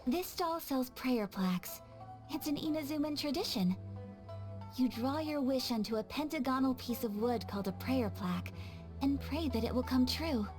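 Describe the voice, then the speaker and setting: A woman playing a refined young noblewoman in a video game, gentle and earnest, with polite, measured phrasing. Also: high voice, animated, soft background music. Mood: composed, polite.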